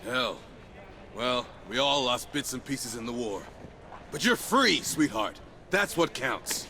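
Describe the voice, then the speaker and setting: Gravelly, animated roguish bartender ally. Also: male voice, medium pitch, takes it slowly, slight background noise, heavily acted. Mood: wry, reassuring. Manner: folksy, familiar, affectionate banter. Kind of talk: video game voice acting.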